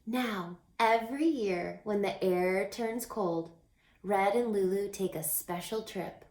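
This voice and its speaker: Slow, echoey woman reading a storybook. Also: mid-range voice. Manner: expressive, teacherly, pausing for questions. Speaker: reading teacher reading a picture book aloud. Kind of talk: children's storybook read-aloud with questions to young listeners. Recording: echoey, roomy sound.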